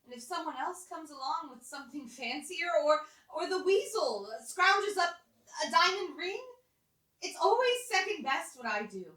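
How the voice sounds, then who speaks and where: A woman's voice: extremely high pitch, expressive, normal speed, pushed and bright, heavily acted. A woman voicing a fragile, motherly old bird in a puppet show, doting, hesitant and resigned. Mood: fragile, apologetic. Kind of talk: live puppet show acting. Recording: echoey room, distant mic.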